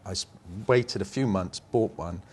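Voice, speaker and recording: A man's voice: sits low, measured pace, husky. A man in his fifties with an English accent, speaking conversationally as a designer being interviewed, rambling and often interrupting himself. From England. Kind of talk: conversational interview, personal anecdotes.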